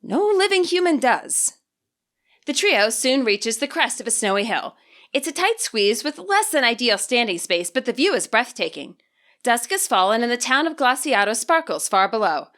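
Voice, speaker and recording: A woman reading her own fan fiction aloud as an audiobook, with expressive storytelling. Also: fairly high pitch, moderate pace. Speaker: fanfiction author reading her podfic. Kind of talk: audiobook narration.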